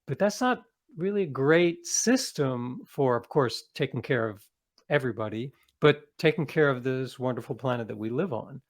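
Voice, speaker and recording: A man's voice: mid-range voice, animated, normal speed. A man speaking as an interviewed author, explaining ideas in a reflective, conversational way with small qualifying asides.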